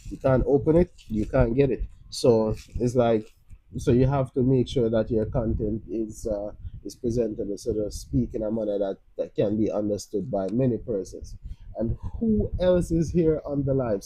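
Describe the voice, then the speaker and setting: A Jamaican man talks loosely on a live stream, circling back and restarting his sentences as he goes. His voice is very dark and mellow, with a husky edge. He speaks at an everyday middle pitch. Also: low-quality recording. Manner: rambling, many restarts and fillers. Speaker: livestream host. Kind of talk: casual live-stream advice.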